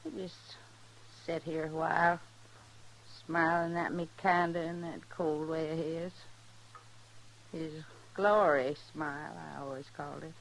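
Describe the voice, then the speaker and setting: Tired middle aged farm wife, rural american twang, slow n plain, old noisy radio western. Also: female voice, sits low, flat delivery, gravelly. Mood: quiet bitterness. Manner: plain, unhurried, folksy. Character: worn-down, long-abused rural wife, quietly resolute. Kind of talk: 1950s radio western acting.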